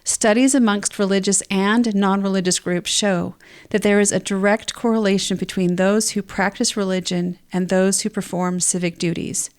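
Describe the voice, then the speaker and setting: A woman's voice: mid-range voice, fairly even intonation, normal speed, a little mellow, clean tone. A woman co-hosting a podcast, speaking in a conversational, explanatory way about books and ideas. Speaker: podcast co-host. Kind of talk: book discussion podcast, part casual chat, part reading prepared notes.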